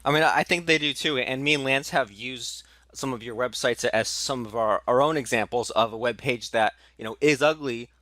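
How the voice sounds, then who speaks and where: A man's voice: mid-range voice, moderate pace, few pauses, pushed and bright, dry recording. A man hosting a marketing podcast, eager and chatty, packing his questions with fillers and restarts. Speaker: podcast host. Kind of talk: casual podcast interview.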